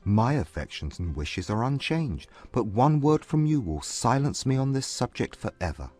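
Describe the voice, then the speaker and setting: A man playing a proud, contrite gentleman in love, speaking with formal intensity in a dramatized classic romance audiobook. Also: mid-range voice, big pitch swings, no echo. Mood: hopeful, intense. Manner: formal, intense, measured period phrasing. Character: earnest, proud gentleman suitor, contrite and lovestruck.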